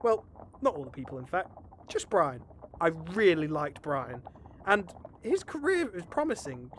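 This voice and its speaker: A man's voice: a little high, big pitch swings, measured pace, a little hiss or hum. A man playing a pompous, scheming, easily exasperated gang leader in a comedy fantasy audio drama, bossy and wordy. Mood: wry, confessional.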